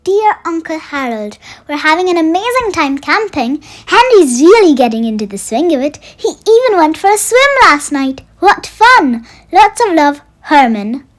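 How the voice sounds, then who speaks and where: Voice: high voice, sing-song, moderate pace, hardly any pauses, fairly bright tone. A storybook reader voicing a cheerful, eager male friend who chats enthusiastically in his holiday postcards. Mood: excited. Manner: bubbly, enthusiastic, chatty. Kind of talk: children's storybook read-aloud. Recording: music under the voice.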